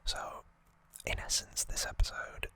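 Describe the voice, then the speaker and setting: Slow, breathy whispering ASMR host. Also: sharp tone. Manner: hesitant, unscripted retelling. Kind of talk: whispered ASMR trivia recap.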